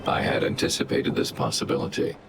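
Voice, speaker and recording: An actor voicing a huge, protective robot guardian in a video game, speaking in a calm, formal, emotionless and logical way. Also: male voice, lightly acted. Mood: solemn, measured. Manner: measured, formal, emotionless machine-like delivery. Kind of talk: scripted video game dialogue. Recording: game music behind.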